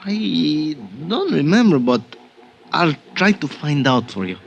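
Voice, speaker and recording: An actor playing a small, grinning, scheming bellboy with a Spanish accent and wheedling broken English in an old-time radio drama. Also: male voice, fairly high pitch, big pitch swings, measured pace, creaky, full character voice. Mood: sly, wheedling. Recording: noisy old radio recording with clicks.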